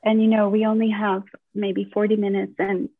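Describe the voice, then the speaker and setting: Female host, halting informal delivery, even-pitched and husky, tinny remote-call audio. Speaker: host interviewing a guest teacher. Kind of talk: informal spoken introduction and questions. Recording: thin phone-like call audio, roomy echo.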